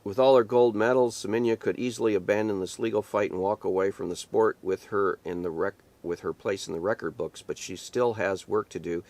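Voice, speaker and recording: Male pastor host, fairly low voice, reads quotes then argues back in pointed rhetorical questions. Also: fairly even intonation, fairly quick, few pauses, a little mellow. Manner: repeats himself and restarts sentences. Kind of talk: reading an article aloud and commenting.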